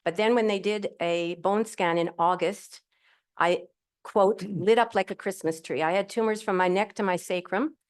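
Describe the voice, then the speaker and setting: A middle-aged woman speaking as a panel guest at a live event, using a handheld mic. She tells her story in a cheerful, candid and rambling way. Her voice sits at a medium pitch. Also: normal speed. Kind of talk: telling her personal story. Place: event hall with audience. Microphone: handheld microphone.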